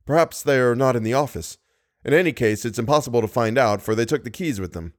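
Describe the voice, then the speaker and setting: A man's voice: medium pitch, animated, fast, lightly acted. A man doing a flustered theatre secretary. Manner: breathless, startled reporting.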